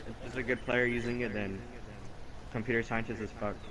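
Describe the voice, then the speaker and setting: A man telling an improvised story casually during a game, rambling and halting as he corrects himself. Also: mid-range voice, flat delivery, crowd noise, low-quality recording.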